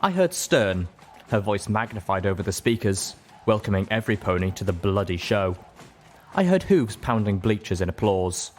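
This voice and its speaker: Low-voiced man narrating a story. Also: big pitch swings, normal speed, a little hiss or hum. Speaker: audiobook reader. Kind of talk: scripted dramatic story narration.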